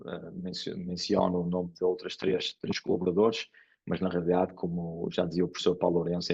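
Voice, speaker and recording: A man's voice: deep voice, creaky, darkish tone, breathy edge. A man with an Italian accent speaking Portuguese, giving a hesitant academic lecture full of fillers and restarts. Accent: Italian with English and Porto traces in Portuguese. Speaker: engineering professor giving a conference talk. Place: lecture hall. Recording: dull lo-fi lecture recording.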